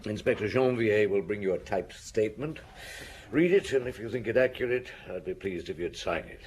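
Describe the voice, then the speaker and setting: Male actor as senior British police detective, low voice, wry, unhurried, authoritative, radio drama, slight background noise. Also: expressive, a little mellow, lightly acted. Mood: courteous, brisk. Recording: noisy radio drama recording.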